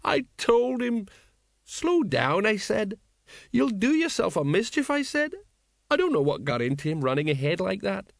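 A man's voice: high-pitched, big pitch swings, normal speed, gravelly, no room sound, studio quality, pitched above the performer's own voice, heavily acted. A man voicing an older male city watch sergeant who talks sadly and rambles through stories. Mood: mournful. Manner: sad, rambling, tells stories.

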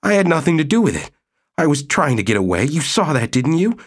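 A man's voice: a little high, sing-song, fairly quick, breathy edge, pitched above the performer's own voice. A man voicing a cowardly middle-aged husband who pleads in a plaintive, whining tone.

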